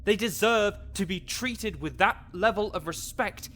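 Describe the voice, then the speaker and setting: A man's voice: high voice, measured pace, fairly bright tone. A man playing a grouchy, scholarly necromancer in an audio roleplay, condescending, pedantic and sarcastic. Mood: exasperated lecturing. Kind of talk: audio roleplay acting addressed to the listener.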